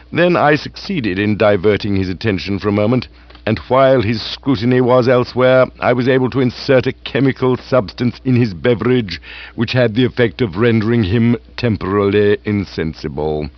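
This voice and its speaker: A man reading aloud voices a calm, deferential male valet who speaks in a measured, formal way. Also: lowish voice, close to an everyday voice. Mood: explaining. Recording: dull, dry recording.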